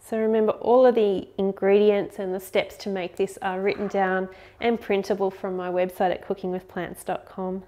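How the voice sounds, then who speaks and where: A woman's voice: medium pitch, little pitch movement, normal speed, few pauses, dark tone. Upbeat woman hosting a home cooking demo. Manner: upbeat, chatty, explains step by step. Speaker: cooking show host. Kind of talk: friendly recipe demonstration. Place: home kitchen, indoors.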